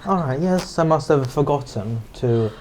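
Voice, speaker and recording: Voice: medium pitch, expressive, normal speed, darkish tone, a little hiss or hum, lightly acted. A game master voicing a polite Regency lady who coaxes a cranky old man in a condescending way. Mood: placating.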